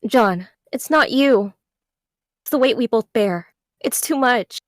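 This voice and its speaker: A woman's voice: fairly high pitch, expressive, slow pace, no echo, clean studio sound. A woman in an amateur table read playing a guarded, burdened young woman in a drama scene. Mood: sad, resigned.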